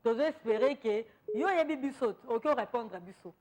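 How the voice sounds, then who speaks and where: A woman's voice: fairly high pitch, lively intonation, normal speed, darkish tone. A woman hosting a radio call-in show, asking short questions and mixing in Lingala. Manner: short prompts, mixes French and Lingala. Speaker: radio host.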